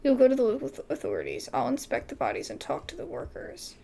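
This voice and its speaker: A woman hosting a casual gaming video, reading game dialogue aloud haltingly and adding her own asides. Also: fairly high pitch, huge pitch swings, vocal fry, mellow.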